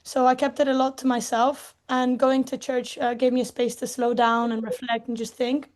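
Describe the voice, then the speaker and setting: A young woman student raised in Spain and England, speaking softly and reflectively as she gives a personal faith testimony. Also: on the high side, flat delivery, moderate pace. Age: young adult.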